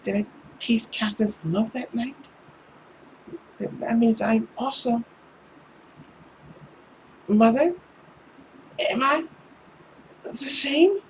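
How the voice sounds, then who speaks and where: A man's voice: extremely high pitch, takes it slowly, pauses often, slight background noise, voice pushed up, low-quality recording. A man voicing a frightened young woman in a visual novel, hesitant and breaking off. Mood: dawning horror. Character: frightened young woman realising a dark truth.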